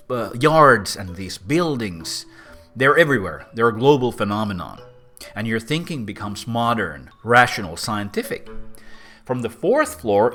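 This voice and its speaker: Lively Finnish-accented man explaining. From Finland. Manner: animated, swooping pitch, playful asides. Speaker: host and philosopher. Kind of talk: casual explainer talk to camera.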